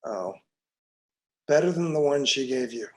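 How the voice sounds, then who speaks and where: An actor at a screenplay table read playing a shrewd male police detective, measured and knowing. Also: medium pitch, expressive, a little mellow, close to an everyday voice.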